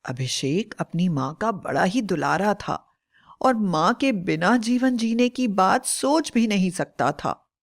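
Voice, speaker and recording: A woman tells a scripted story for children. Her pace is slow and deliberate, in a medium-pitched voice, as a storyteller narrating a moral tale. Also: expressive, vocal fry.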